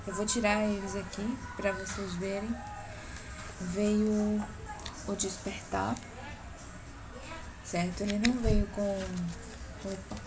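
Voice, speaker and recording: A woman's voice: mid-range voice, flat delivery, takes it slowly, long pauses, low-quality recording. A young woman from Brazil, nasal from a cold, chatting casually about her books on a webcam in her bedroom, often checking in with her viewers. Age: young adult. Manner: chatty, keeps adding "tá?" checks. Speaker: book blogger. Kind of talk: casual vlog book show-and-tell. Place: small bedroom at home. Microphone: webcam at close range. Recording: neighbours' music heard behind. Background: neighbours' music playing.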